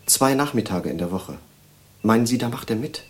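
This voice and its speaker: An actor playing an earnest, inquisitive young writer in an audio drama, speaking in a hesitant, deliberate way. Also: male voice, mid-range voice, expressive, breathy edge. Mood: inquisitive, tentative. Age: young adult.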